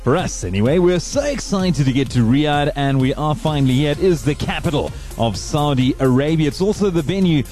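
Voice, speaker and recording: A man's voice: fairly high pitch, expressive, a little fast, few pauses, a little mellow, faint music behind. A man hosting a podcast in casual chat, rambling and digressing as he talks. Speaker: podcast host.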